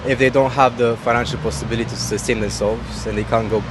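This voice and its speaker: A man's voice: medium pitch, steady tone, fast. A young man from Romania, a university student answering street interview questions in a hesitant, earnest way. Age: young adult. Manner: hesitant and earnest, with many "I mean" fillers. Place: outdoors on a street. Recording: outdoor street recording with traffic.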